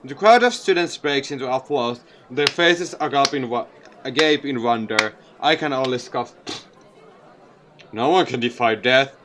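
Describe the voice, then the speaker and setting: A man's voice: mid-range voice, animated, a little slow, very noisy. A man narrating a visual novel aloud as a gaming video creator.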